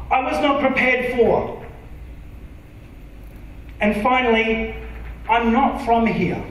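An Australian man preaching a sermon from a church stage, earnest and emphatic, sometimes dropping to a soft, intimate tone. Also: extremely high pitch, a little hiss or hum. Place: large dark church auditorium stage. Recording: very echoey hall from far off.

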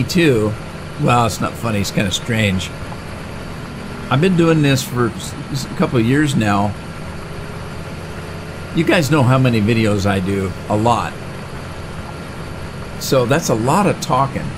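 A man's voice: medium pitch, expressive, measured pace, long pauses. A middle-aged man hosting a farming game let's-play, talking in a chatty, rambling way with frequent fillers and restarts. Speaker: gaming channel host. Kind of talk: casual let's-play commentary. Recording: game engine noise clearly behind the voice. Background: tractor and engine sounds from the game.

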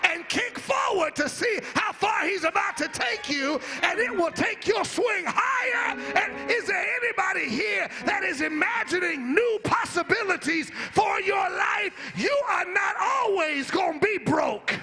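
A man's voice: very high-pitched, lively intonation, a little slow, vocal fry, few pauses, raised voice. A middle-aged American man preaching a fervent, rhythmic and emphatic church sermon into a handheld microphone. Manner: rhythmic, emphatic, repeats phrases to rouse listeners. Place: large church hall, on stage at a podium. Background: live music.

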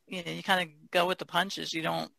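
Woman, bright forceful tone, creaky edges, informal, hedging, casual podcast panelist. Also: fairly low pitch, level tone, a little fast, no room sound. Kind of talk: casual chat.

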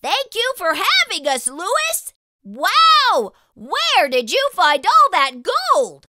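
A woman's voice: very high voice, huge pitch swings, slow, pushed and bright, no echo, clean studio sound, full character voice. A woman voicing a small, curious, childlike spot creature who eagerly asks lots of questions in a children's storybook read-aloud.

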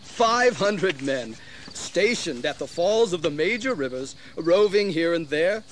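Blunt colonial planter, highish voice. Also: male voice, lively intonation, a little slow. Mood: scornful. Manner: outspoken, emphatic. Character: blunt, disgruntled colonial planter, bold and scornful. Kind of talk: historical audio drama acting. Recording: faintly noisy 1980s drama recording.

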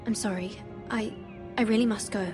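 A woman's voice: mid-range voice, fairly even intonation, normal speed, music in the background, close to an everyday voice. An actress playing an anxious, dutiful young lady-in-waiting in a fantasy video game, formal and apologetic in manner. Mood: guarded, anxious. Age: young adult. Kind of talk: dramatic video game acting.

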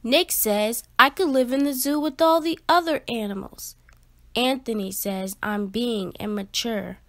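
A woman with a fairly high voice reads a children's picture book aloud. She takes it very slowly and phrases things with deliberate emphasis, in an expressive storytelling style.

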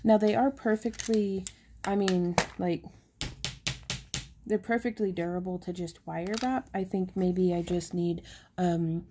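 A chatty woman, showing handmade stones in a casual shop update, talking softly and excitedly over the camera. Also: on the low side, measured pace, noisy background. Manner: chatty and excitable, drops into soft whispers over pretty stones. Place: indoors at a craft table.

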